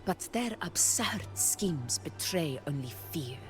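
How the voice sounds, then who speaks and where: A woman voicing a proud, resolute warrior ruler in a video game, speaking in a formal, measured, commanding way. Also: low voice, animated. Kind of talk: dramatic game dialogue. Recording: music behind the voice. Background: background music.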